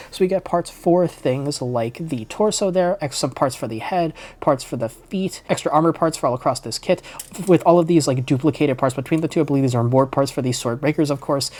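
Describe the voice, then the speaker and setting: A man's voice: a little high, darkish tone. A man with a congested voice from a cold, chatting through a detailed model kit review. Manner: chatty and detailed, fond of "like" and "of course". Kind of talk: unboxing and product review.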